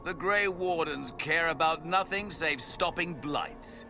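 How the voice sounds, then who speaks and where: A man's voice: fairly high pitch, slow pace, music in the background. An actor playing a pompous, gloating nobleman mage villain in a fantasy game, with mocking theatrical delivery. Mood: smug, dry. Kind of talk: dramatic fantasy game voice acting.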